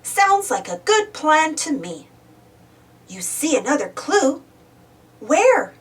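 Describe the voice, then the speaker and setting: A woman voicing a cheerful young male children's show host in an animated, sing-song storybook read-aloud, speaking directly to young children. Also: sits high, takes it slowly, recorded from a distance, heavily acted. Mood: curious, encouraging. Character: Joe, cheerful young man hosting a preschool show.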